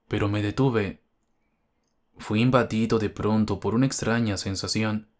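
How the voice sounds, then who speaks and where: A man reading a scripted first-person horror story as its narrator. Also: on the low side.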